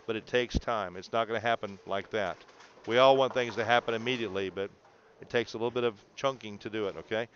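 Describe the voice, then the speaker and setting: A man's voice: fairly low pitch, fast talker, a bit bright, muffled recording, slight background noise. A man coaching a student through video analysis in an encouraging, explanatory way, often checking in. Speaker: bowling coach.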